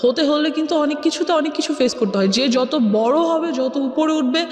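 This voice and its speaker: A young woman with a Bangladeshi accent speaks as an interview guest in a very high-pitched voice. She talks conversationally about her own life, often dropping in English phrases. The recording is echoey, with a long reverb tail. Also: normal speed, darkish tone. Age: young adult. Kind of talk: conversational personal account.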